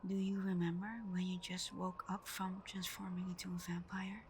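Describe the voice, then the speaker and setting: A woman playing a tender, devoted vampire girlfriend in an intimate ASMR roleplay, speaking softly and breathily, often in a whisper, gentle and reassuring. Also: fairly low pitch, monotone, normal speed, a little hiss or hum, close to an everyday voice. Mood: reassuring, loving.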